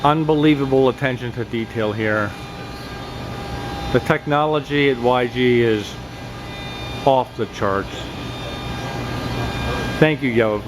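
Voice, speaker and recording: A man's voice: medium pitch, takes it slowly, long pauses. Folksy man hosting amid workshop noise. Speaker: host and reporter behind the camera. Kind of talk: informal on-location video tour commentary. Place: large industrial workshop, indoors. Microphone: handheld camera, speaking from behind it. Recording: steady ventilation and workshop machinery noise.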